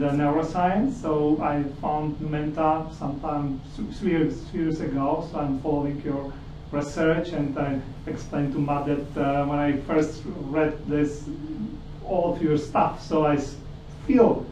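A man's voice: a little high, little pitch movement, a little slow, darkish tone, muffled recording. A man from the Czech Republic with a Czech accent, introducing himself informally and searching for words. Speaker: meetup attendee. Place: indoor meetup room. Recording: distant, echoey room, faint noise.

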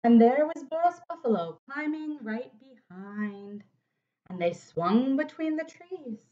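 A woman's voice: a little high, lively intonation, unhurried, dark tone. A woman in her thirties with an American accent, reading a children's storybook aloud expressively. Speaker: city council member reading to children. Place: home office, indoors. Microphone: computer microphone at desk distance. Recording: slightly dull webcam audio.

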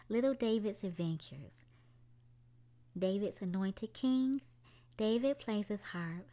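A woman's voice: mid-range voice, dark tone. A woman hosting a children's storytime, reading a picture book aloud expressively to young children, with music behind her.

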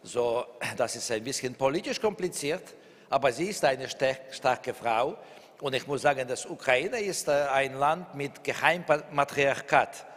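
Man in his sixties, Russian accent, halting German with fillers, live stage talk, reverberant hall. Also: medium pitch, fairly bright tone. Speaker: author guest. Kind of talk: onstage book talk. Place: large hall with audience. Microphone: handheld mic.

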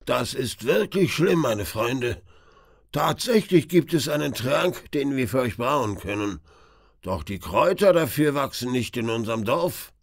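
A man playing a solemn father and herbal healer in a children's audio play, speaking deliberately. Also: mid-range voice, lively intonation, gravelly, no room sound, clean studio sound.

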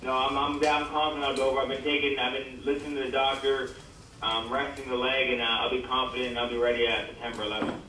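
A man's voice: mid-range voice, level tone, fast, bright, forceful tone. A man casually answering press questions on a conference call through a speakerphone. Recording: very echoey speakerphone call, distant, faint noise.

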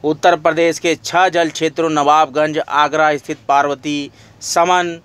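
Male lecturer, slightly high voice, steady reading of exam notes. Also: unhurried, clear, resonant tone. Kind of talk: reading study notes aloud.

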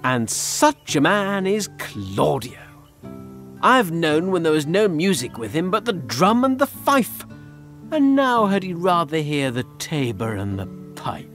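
A man performs a scornful Shakespearean gentleman soldier in a stage monologue. He is incredulous and mocking, lively in pitch and measured in pace. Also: soft background music, heavily acted.

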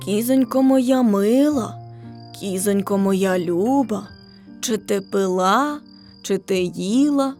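An old peasant grandfather from a storybook, played by a woman, coaxes tenderly in a slow singsong. He draws each phrase out fondly, like verses of a folk rhyme. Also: medium pitch, a little mellow, faint music behind, natural voice. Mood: tender, questioning. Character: doting old peasant grandfather questioning his beloved goat.

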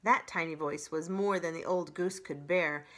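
A middle-aged woman reading a children's story aloud in an expressive storytelling style. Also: low-pitched, normal speed, heavy background noise. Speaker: children's library story reader.

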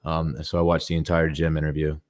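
A young man co-hosting a casual podcast from his living room, upbeat and chummy, often clearing his throat. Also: rumbling low, monotone, brisk. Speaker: podcast co-host. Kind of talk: casual chat. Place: home living room, indoors.

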